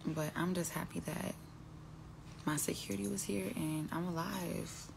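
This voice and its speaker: A woman's voice: lowish voice, fairly even intonation, a little slow, creaky, noisy background. A young woman talking casually into her phone on a live stream at home, rambling and trailing off as she speaks. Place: indoors at home, phone selfie. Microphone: phone held close.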